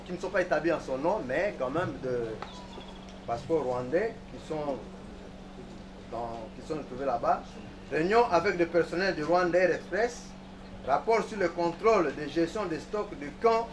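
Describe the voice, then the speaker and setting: Echoey, distant man presenting courtroom evidence. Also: a little high. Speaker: military prosecutor in court. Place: large indoor courtroom. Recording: echoey courtroom, distant mic, faint noise.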